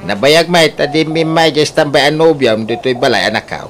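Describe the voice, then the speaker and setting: A man's voice: a little high, normal speed, fairly bright tone, soft background music, natural voice. A man with an Ilocano Filipino accent playing a stubborn, hot-tempered, scolding father in a comic radio drama. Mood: angry scolding. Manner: blustering, exclamatory, scolding. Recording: noisy radio drama recording.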